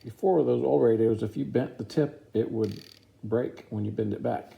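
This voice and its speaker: A man's voice: medium pitch, normal speed, gravelly, warm tone. A man giving a casual, informal product review, explaining features plainly step by step.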